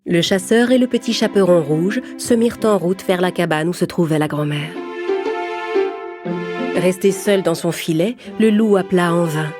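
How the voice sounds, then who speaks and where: A woman's voice: on the low side, moderate pace. A woman narrating a scripted children's story as the storyteller. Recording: prominent music under the voice.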